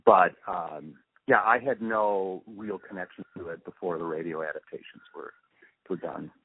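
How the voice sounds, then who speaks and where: A man being interviewed, recalling his work in a casual, halting and reflective way, often trailing off mid-thought. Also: sits low, slightly rough. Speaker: interview guest, radio drama producer and sound mixer. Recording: muffled, narrow phone-like interview recording.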